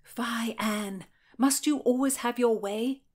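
A woman voicing a sharp-tongued, fiercely loyal Tudor lady-in-waiting, earnest and scolding, in a narrated historical story. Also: on the high side, slow pace, pitched above the performer's own voice. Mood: exasperated.

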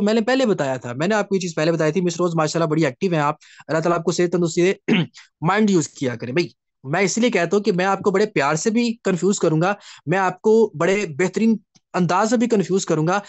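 A man's voice: high-pitched, no room sound. A man with a Pakistani accent leading an informal online motivational training session, speaking in an emphatic, repetitive, instructive way with frequent check-back questions. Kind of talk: informal motivational coaching talk to online participants. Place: online video meeting.

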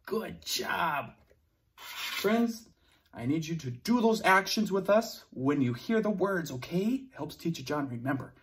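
A young man teaching young children, playful and animated, cheering them on and making sound effects while he reads a picture book aloud in a classroom. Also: on the high side, unhurried. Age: young adult. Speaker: preschool teacher. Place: classroom with word cards on a board.

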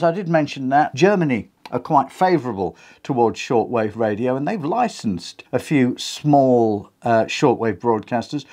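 Man, British accent, fifties, mid-pitched, casual presenter, small home studio. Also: darkish tone. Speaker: video host. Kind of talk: casual talk to camera about radio. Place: small wood-walled home radio studio. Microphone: clip-on lapel mic.

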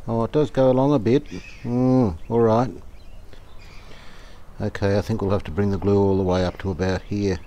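Calm man thinking aloud. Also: lowish voice. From Australia. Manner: thinking aloud, calm and unhurried, plain-spoken with fillers. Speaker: radio restorer, channel host. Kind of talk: casual hands-on workshop narration. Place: outdoor verandah in the garden.

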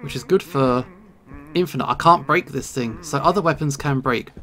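A man giving casual let's play commentary, thinking aloud in short, halting remarks. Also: medium pitch, bright, forceful tone, faint music behind. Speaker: video game player and host.

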